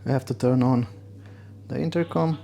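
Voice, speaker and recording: Mellow, gravelly Romanian-accented man. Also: mid-range voice, steady tone. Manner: halting, searching for words. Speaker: motorcycle gear reviewer. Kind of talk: casual unboxing and demo talk.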